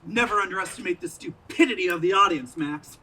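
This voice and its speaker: A man's voice: sits high, fast, bright, forceful tone. A man playing a pompous, scornful radio director with a grandiose ego in a theatrical community stage performance. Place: outdoor stage in a parking lot. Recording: distant mic with noisy outdoor ambience.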